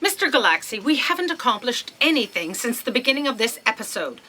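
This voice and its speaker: A woman's voice: fairly high pitch, big pitch swings, fast talker, bright, forceful tone, slight background noise, poor audio. A woman playing an imperious, impatient, cutting office boss in a comedic sci-fi audio drama. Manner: bossy, cutting, commanding.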